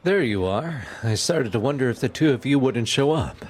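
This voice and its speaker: Male actor as polite detective for audio drama: husky mid-range voice, lively intonation. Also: mid-range voice, moderate pace. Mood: polite, brisk. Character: courteous, businesslike male police detective. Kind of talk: audio roleplay acting.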